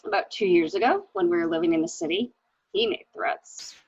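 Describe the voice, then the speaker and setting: A woman's voice: fairly high pitch, big pitch swings, fairly quick, gravelly, slightly rough, no echo, exaggerated voice. A woman in a dramatic mystery reading, playing an anxious, hesitant widow, on a dull home recording. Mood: somber, recounting. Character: anxious, hesitant new widow recounting her husband's misdeeds. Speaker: library staff reader.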